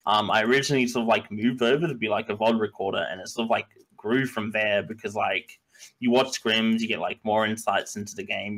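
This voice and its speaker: A man's voice: lowish voice, fairly bright tone, rough recording. A man in his twenties, an esports coach, answering interview questions casually with many fillers and hesitations. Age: 20s.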